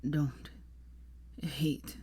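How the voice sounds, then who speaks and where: A woman voicing a dying, delirious young man in a faint, halting, gasping whisper for an audiobook story. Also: low voice, level tone, dark tone, breathy edge, no echo, heavily acted. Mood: weak, fading.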